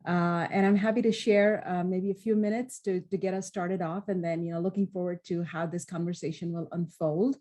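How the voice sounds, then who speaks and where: A woman's voice: fairly low pitch, fairly even intonation, a little fast, hardly any pauses, dark tone. A woman scholar giving an explanatory academic talk on a panel, often restarting her phrases. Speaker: scholar of Islamic studies, panelist.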